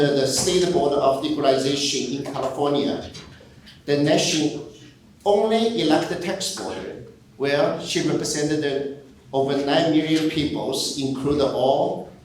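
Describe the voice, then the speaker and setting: A man reading a formal speaker introduction as emcee, halting and repeating words. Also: a little high. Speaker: event emcee. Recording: echoey hall, distant, faint noise.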